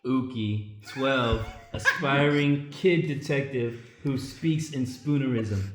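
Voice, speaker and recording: A man's voice: medium pitch, slow. A man, an improv performer, reading out a short character introduction. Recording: echoey room.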